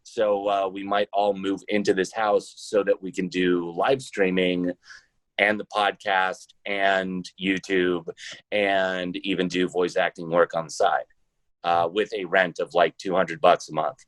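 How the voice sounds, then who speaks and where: A man's voice: sits low, flat delivery, measured pace, a bit bright, dry recording, rough recording. A man answering casually and conversationally as the guest in a podcast interview.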